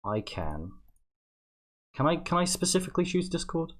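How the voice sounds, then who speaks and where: A livestream host chatting casually, in a halting, self-deprecating way. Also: mid-range voice, very animated. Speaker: stream host.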